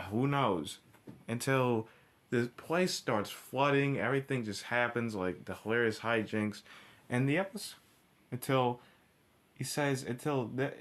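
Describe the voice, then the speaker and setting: Man in an informal, halting rant. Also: medium pitch. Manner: halting, with repeated restarts and backtracking; blunt, heavily profane. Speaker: cartoon episode reviewer. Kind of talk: informal ranting commentary.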